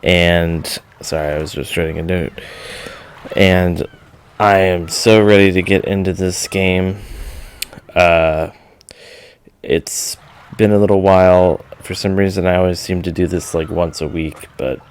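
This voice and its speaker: A man's voice: deep voice, fairly even intonation, unhurried, a little hiss or hum. A man hosting a visual novel read-aloud, moving between informal chat and story narration.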